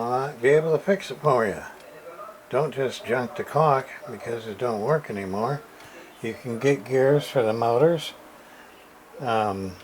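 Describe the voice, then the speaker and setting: A man giving a casual, unscripted repair demonstration, haltingly thinking aloud as he explains his work. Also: lowish voice, rough recording. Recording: clear background noise.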